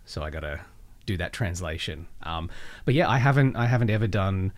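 A man with an Australian accent talking casually as an interview guest, haltingly, as if thinking aloud. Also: low-pitched, expressive. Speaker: voice actor, podcast guest.

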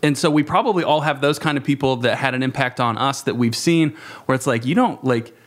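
A man in his thirties talking reflectively in a panel discussion, with halting phrasing and self-corrections. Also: mid-range voice. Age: 30s. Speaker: youth ministry worker.

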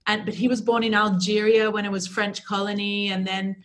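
A woman's voice: mid-range voice, fairly quick, rough recording. Chatty woman with a faint Australian accent. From New Zealand-born, raised in Australia. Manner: chatty, animated, many "like"s and "you know"s. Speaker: guest. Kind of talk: casual interview chat.

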